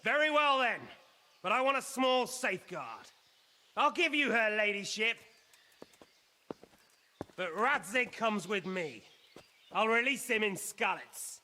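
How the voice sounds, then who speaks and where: Casting: defiant game villain, sharp, raised high voice, sardonic and sly. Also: male voice, expressive, slow pace, pauses often, in a large hall, exaggerated voice. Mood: bargaining. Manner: sardonic, taunting, projecting loudly. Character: defiant, sly male villain holding a castle. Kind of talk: cinematic video game acting.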